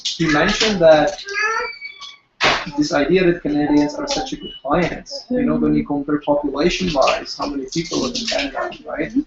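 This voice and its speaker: Creaky-voiced audience member in echoey room. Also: medium pitch, big pitch swings, normal speed, heavy background noise. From Czech Republic. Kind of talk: casual question and discussion. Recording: echoey room, dull sound, crowd.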